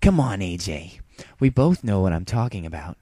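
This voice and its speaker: A man's voice: low voice, sing-song, normal speed, mellow, no echo, clean studio sound. A man voicing a brash young flying mare in a storybook reading, cocky and teasing. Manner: teasing, self-assured.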